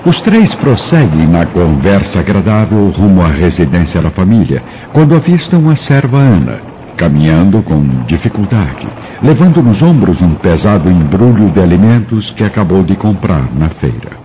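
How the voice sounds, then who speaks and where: A man's voice: low-pitched, very animated, moderate pace, warm tone, muffled recording, a little hiss or hum. A man with a Brazilian accent giving literary, declamatory scripted narration for a radio drama.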